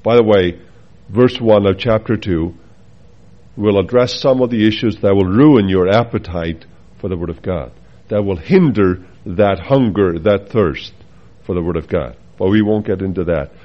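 A man's voice: sits low, moderate pace, dull audio. A man from the Faroe Islands with a Faroese accent, preaching a sermon earnestly, with asides and rhetorical questions to the congregation.

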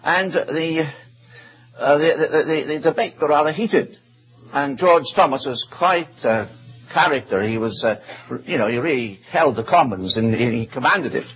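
A man with a Welsh accent preaching a sermon, reading scripture in a measured, drawn-out way. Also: a little high, lively intonation. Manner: measured, stretches out scripture verses. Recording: dull lo-fi recording.

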